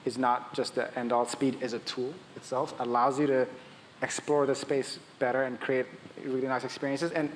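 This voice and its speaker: A man from Ethiopia talks conversationally as a founder on a conference panel. His voice sits at a medium pitch and he often restarts mid-thought. The sound rings in a large hall, with some noise underneath. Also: little pitch movement, moderate pace. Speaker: tech startup founder, panel guest. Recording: echoey hall with long reverb, audible noise.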